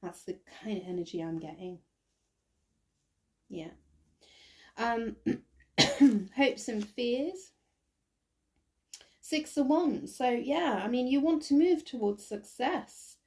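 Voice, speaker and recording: Croaky woman giving a tarot reading. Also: fairly high pitch, expressive, slow pace, long pauses, a little mellow. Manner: thinks aloud with many ums and restarts. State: croaky, recovering from bronchitis. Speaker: tarot reader. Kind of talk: casual tarot reading to camera.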